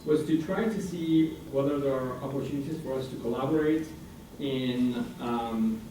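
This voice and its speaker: A man's voice: medium pitch, normal speed, mellow, far from the mic. Man speaking from afar in an echoing hall. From Iranian American, raised in France. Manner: hesitant, many fillers and restarts. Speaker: guest speaker, international center director. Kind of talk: informal presentation to a student senate. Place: university meeting room. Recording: roomy hall recording from afar, noisy.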